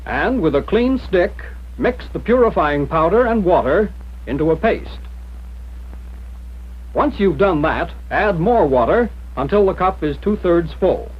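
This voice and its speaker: A man's voice: high-pitched, lively intonation, a little slow, breathy edge. Muffled 1940s training film narrator, American man. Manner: plain-spoken, instructive, direct. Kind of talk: scripted 1940s instructional narration.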